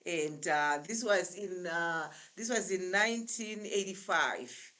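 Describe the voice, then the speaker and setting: Zimbabwean lady in her 60s, lowish voice, chatty, takes her time telling the story over a call. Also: expressive, sharp tone. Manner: chatty, unhurried storyteller with frequent pauses. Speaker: guest. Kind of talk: casual storytelling interview.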